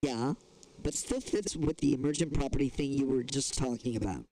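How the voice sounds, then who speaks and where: A man's voice: medium pitch, moderate pace, mellow, dry recording, close to an everyday voice, poor audio. A man acting as a skeptical scientist in an audio drama, questioning and challenging in a conversational way. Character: skeptical, probing scientist colleague.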